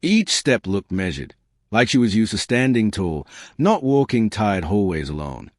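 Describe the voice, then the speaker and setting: A man narrating a science fiction story in a measured, dramatic way with short pausing phrases. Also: lowish voice, very animated, darkish tone, dry recording, studio quality. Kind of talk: scripted dramatic sci-fi audiobook narration.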